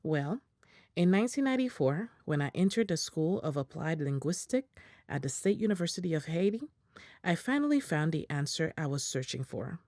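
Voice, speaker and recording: A woman reading a prepared statement aloud in English in a measured, formal way, as an interpreter on a video call. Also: sits low, sing-song, a little mellow, no room sound, studio quality.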